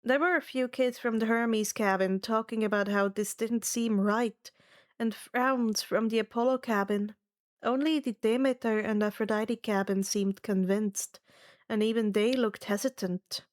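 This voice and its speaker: Slow, higher-pitched woman storyteller. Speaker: narrator and host of fan fiction readings. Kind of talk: scripted first-person story narration.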